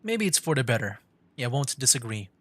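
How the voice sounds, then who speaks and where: A man's voice: mid-range voice, big pitch swings, a little fast, a bit bright. A man livestreaming a video game, commenting casually and reading dialogue choices aloud. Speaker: gaming livestreamer.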